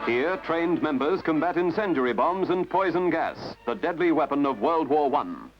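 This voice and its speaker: Lively, high-pitched male newsreel narrator. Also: normal speed. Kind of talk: scripted documentary newsreel narration. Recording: dull lo-fi archival film soundtrack with music. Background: prominent music.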